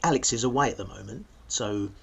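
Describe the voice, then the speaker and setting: A man's voice: fairly low pitch, expressive, brisk, vocal fry, a bit bright, highs cut off, slight background noise. A man playing a chatty, penny-pinching British vlogger. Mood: upbeat, chatty. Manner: chatty, matter-of-fact, addressing viewers. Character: penny-pinching, self-satisfied male money-saving vlogger. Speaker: actor. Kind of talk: radio play monologue as a vlog.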